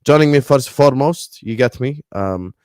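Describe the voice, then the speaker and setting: A man's voice: medium pitch, animated, normal speed, clean tone, dry recording. Host, male, easygoing football banter, dry close mic. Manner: chatty, repeats "you get me", mixes in Arabic phrases. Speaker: podcast host.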